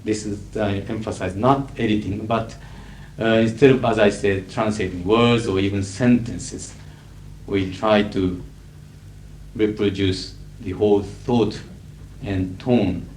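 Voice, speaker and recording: A middle-aged man with a Japanese accent, a native Japanese speaker, lecturing as an interpreter in a measured, emphatic way with frequent fillers. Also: on the low side, steady tone, darkish tone. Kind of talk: lecture to an audience. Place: lecture hall. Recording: echoey 1980s hall recording with faint hiss.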